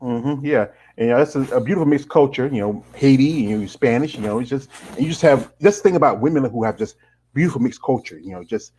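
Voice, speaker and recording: A man's voice: mid-range voice, fast talker, mellow, slightly rough, poor audio. A middle-aged man hosting a casual live-stream interview and reading out viewer comments, rambling and leaning on "you know" fillers. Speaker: host.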